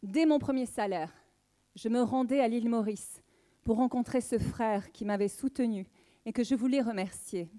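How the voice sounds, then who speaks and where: A woman in a soft-edged, mellow tone. Her voice sits a little high for a woman. She delivers a prepared personal testimony earnestly, in formal, measured phrases. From southwest France.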